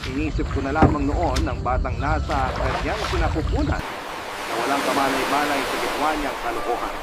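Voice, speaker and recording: A man's voice: mid-range voice, very noisy, lightly acted. An actor playing a forgiving, lovelorn man who narrates his heartbreak in a reflective, sentimental radio drama style. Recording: loud music under the voice. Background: loud music.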